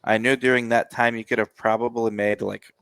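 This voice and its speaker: A man's voice: medium pitch, flat delivery, a little fast, gravelly, fairly bright tone, clean tone, no echo. A man, co-hosting a casual music reaction show, joking and sarcastic as he rambles. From United States.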